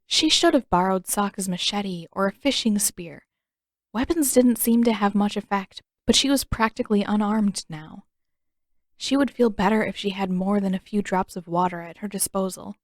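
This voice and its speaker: A woman narrates a scripted adventure story in a medium-pitched voice. The recording is dry and close, like an audiobook. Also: normal speed, no echo.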